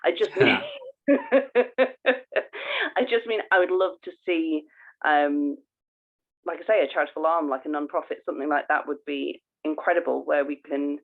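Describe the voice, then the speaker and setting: A woman co-hosting a casual podcast, halting and earnest as she thinks aloud. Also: low voice, vocal fry. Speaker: podcast co-host. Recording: tinny, phone-like narrow band.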